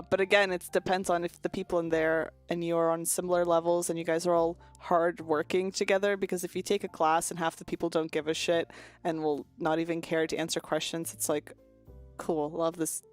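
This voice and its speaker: A young woman streaming, chatting casually and candidly with viewers, rambling with lots of "like" and "um" and some swearing. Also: fairly low pitch. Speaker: VTuber streamer. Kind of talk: casual chat answering viewer questions.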